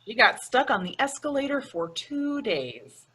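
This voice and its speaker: A woman's voice: high-pitched, big pitch swings, unhurried, fairly bright tone, husky, full character voice. A woman playing a sensible, exasperated roommate with a dry, scolding manner in an old-time radio comedy.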